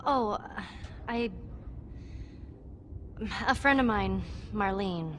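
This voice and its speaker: A woman's voice: mid-range voice, expressive, very slow. A woman playing a plucky, cheeky young girl survivor in a video game, with a breezy, boastful manner. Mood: casual, cheeky. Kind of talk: naturalistic video game acting. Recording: game audio under loud background noise.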